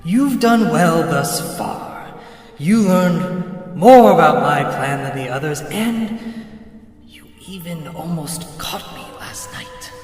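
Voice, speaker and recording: A man's voice: fairly high pitch, huge pitch swings, slow pace, darkish tone, full character voice. A man playing a sly, fiendish villain in a fantasy audio drama, mock-polite and theatrical. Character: sly, gloating vampire-like villain posing as a priest. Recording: echoey cavern reverb with music behind.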